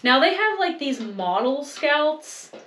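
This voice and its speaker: Young woman, casual chat, self-mocking, high voice, roomy echo. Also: very animated, slow, fairly bright tone, slight background noise. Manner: chatty, self-mocking, laughs often. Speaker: co-host.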